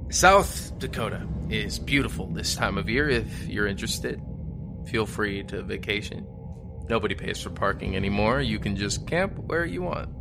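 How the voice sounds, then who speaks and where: A man playing a lonely, wistful survivor of a ruined world in an audio drama monologue, musing in a halting, wry way. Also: on the low side, lively intonation, creaky, music in the background. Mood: dryly wry.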